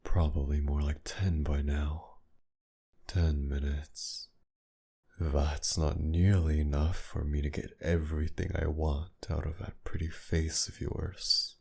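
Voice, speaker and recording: Man acting a gravelly, menacing, flirtatious villain. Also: flat delivery, a little mellow, heavily acted. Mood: hungry, menacing. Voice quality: low, gravelly, breathy. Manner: teasing, taunting, slow drawl with breathy whispers and sighs. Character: sadistic flirtatious male villain, menacing and possessive. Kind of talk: intimate ASMR roleplay acting.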